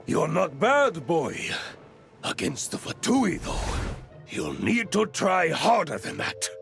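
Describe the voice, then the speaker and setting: A cocky, taunting fighter from a video game. His gravelly voice drags very slowly, swinging in pitch with condescension. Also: sing-song, fairly bright tone, faint music behind, exaggerated voice. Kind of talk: video game character acting.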